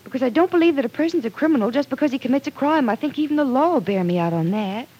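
A woman's voice: sits high, lively intonation, very fast, warm tone, husky, heavily acted. An actress playing an earnest, stubborn, idealistic young small-town woman in an old-time radio drama. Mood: insistent. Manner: earnest, insistent.

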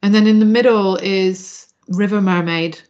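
A woman's voice: medium pitch, fairly even intonation, unhurried, darkish tone. Dull-sounding reflective woman interviewee from Zambia. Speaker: podcast guest, spiritual practitioner. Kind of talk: reflective interview talk about spiritual practice.

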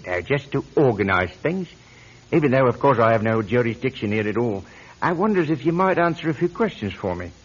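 Suave British policeman, lively pitch. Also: a little fast, husky. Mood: sympathetic, polite. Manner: polite, formal, suave questioning. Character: courteous British Scotland Yard policeman, smoothly inquisitive man. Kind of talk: old radio mystery drama acting. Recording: muffled, noisy old radio recording.

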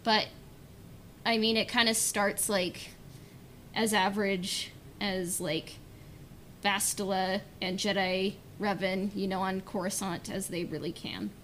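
A woman co-hosting a podcast, talking in an informal, halting, slightly wry way. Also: steady tone, a bit bright. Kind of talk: casual chat. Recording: noticeable low rumbling background noise.

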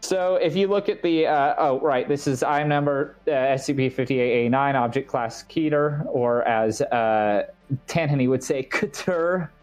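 Man, medium pitch, dry joking manner, stream co-host, reads aloud, soft music behind. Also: moderate pace. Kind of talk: casual chat and read-aloud.

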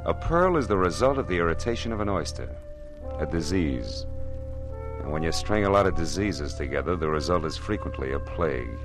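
A hard-boiled, world-weary detective in a 1940s radio drama. His low voice moves in lively, wry swings with clipped phrasing. Music sits behind him. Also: fairly quick, exaggerated voice. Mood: musing, world-weary. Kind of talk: 1940s radio noir acting and narration.

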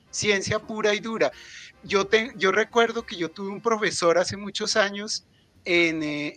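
Male speaker, Colombian accent, notably high pitch, emphatic guest explaining his views, pausing to think, light music underneath. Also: bright, forceful tone. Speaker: writer and psychologist, guest speaker. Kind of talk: opinionated talk at a book presentation.

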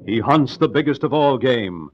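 A man's voice: mid-range voice, animated, normal speed, very noisy. A man announcing and narrating an old radio drama in a declamatory, emphatic style. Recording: dull old radio recording with music.

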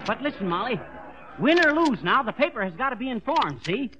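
An actor playing a bumbling, boastful American husband in a 1940s radio comedy, with folksy, stammering bluster. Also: male voice, extremely high pitch, sing-song, fairly quick, a crowd in the background. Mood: eager, hurried. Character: bumbling, boastful husband turned newspaper reporter. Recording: dull, noisy old radio recording.

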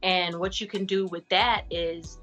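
A woman, an actress and artist, giving frank, animated advice in a casual podcast interview. She says she has a deep voice for a woman. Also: a little slow, faint music behind.

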